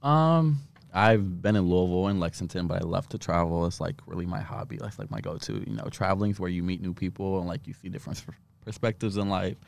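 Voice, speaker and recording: A man's voice: sits low, fairly quick, creaky, no echo. A man in his twenties from Iraq, a podcast guest with a slight lisp, chatting in a campy, laughing way. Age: 20s. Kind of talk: casual chat.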